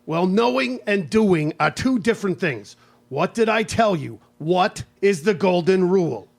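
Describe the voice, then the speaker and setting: An exasperated office-worker husband played by a man in an old-fashioned domestic radio play. His voice sits fairly high with some creak, and he scolds and questions with emphatic, nagging insistence. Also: expressive, measured pace, heavily acted. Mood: exasperated, scolding. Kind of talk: live radio play acting.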